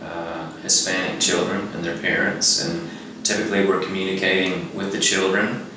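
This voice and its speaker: Very deep-voiced middle-aged man, echoey room. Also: completely flat delivery, moderate pace. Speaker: nonprofit executive director. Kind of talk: conversational interview. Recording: echoey room, distant mic, faint noise.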